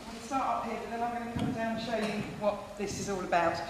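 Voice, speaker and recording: A woman giving a persuasive talk at a public event, earnest and pausing on many ums. She projects her voice, which she calls loud. The sound carries a long, heavy echo from a big hall and a light hiss. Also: fairly high pitch, steady tone. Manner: says she has a loud voice. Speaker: campaign speaker. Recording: echoey hall, faint noise.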